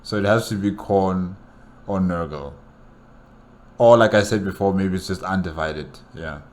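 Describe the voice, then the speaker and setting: A man's voice: sits low, measured pace, darkish tone. A man giving casual solo commentary as a reaction host, musing hesitantly and repeating his phrases.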